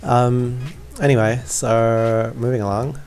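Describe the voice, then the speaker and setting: Slow-talking man doing game commentary. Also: medium pitch, level tone, darkish tone. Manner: informal, apologetic, self-deprecating. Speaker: gaming video creator. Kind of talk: casual game walkthrough commentary.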